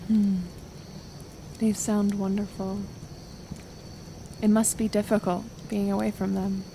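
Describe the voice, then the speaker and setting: A woman playing a kind, stubborn healer of the woods in an intimate roleplay audio, speaking softly and hesitantly, with soothing pauses. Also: medium pitch, level tone, gravelly, background noise. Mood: caring, earnest. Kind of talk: roleplay audio acting, intimate one-sided dialogue.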